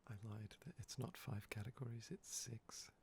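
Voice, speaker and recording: A man speaking softly and often in a whisper for an ASMR video, his voice a bit hoarse, rambling with many pauses and fillers. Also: mid-range voice, a little hiss or hum. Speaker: ASMR creator. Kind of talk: soft-spoken ASMR talk, whispering.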